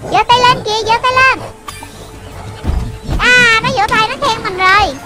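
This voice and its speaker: Very high childlike voice, excited game commentary. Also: measured pace. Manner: childlike, exclamatory, repeats short phrases. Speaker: game video narrator for children. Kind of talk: excited running gameplay commentary. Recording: loud game music over the voice. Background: loud video game music.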